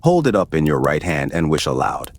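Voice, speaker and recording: An actor playing a grave, fearful old sergeant major in an audio story, speaking in an ominous, emphatic way. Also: male voice, a bass voice, very animated, brisk, heavily acted. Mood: grave warning. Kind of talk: dramatised audio story acting.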